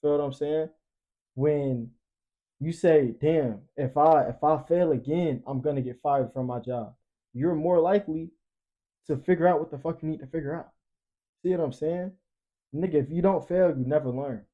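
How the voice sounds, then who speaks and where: A man's voice: a little high, normal speed, narrow, tinny audio. A twenty-year-old man talking casually and emphatically, preaching motivation in profane slang with repeated catchphrases. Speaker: podcast panelist.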